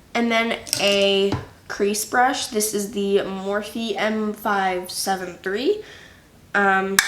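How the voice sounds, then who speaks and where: Young woman, slightly nasal, chatty, slow-paced, echoey room. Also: fairly high pitch. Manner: chatty, filler-heavy, unhurried. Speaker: beauty vlogger. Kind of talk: casual makeup tutorial. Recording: echoey room with faint noise.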